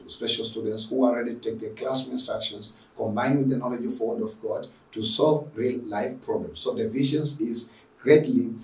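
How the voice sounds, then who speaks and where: A man's voice: mid-range voice, darkish tone. A man with a Tanzanian accent giving an earnest, emphatic lecture introduction as an online teacher, often repeating phrases. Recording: echoey room, distant microphone.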